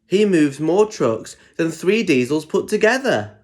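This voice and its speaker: A cheeky, friendly steam engine from a children's storybook, played by a man. He talks jovially in a voice lifted a bit higher. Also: big pitch swings, measured pace. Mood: firm.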